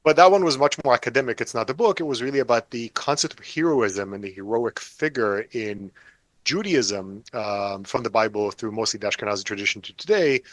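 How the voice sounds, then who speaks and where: A man in his forties, chatting as an interview guest with a dry, self-mocking wit. His voice sits at an ordinary middle pitch and sounds close and dry, with no room around it. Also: brisk, dry recording. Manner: wry, self-deprecating, joking. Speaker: author guest. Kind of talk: casual interview chat.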